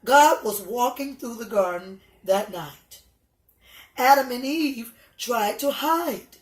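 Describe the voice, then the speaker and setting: A woman reading a children's story aloud, with halting, deliberate delivery. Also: on the high side, animated. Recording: echoey room.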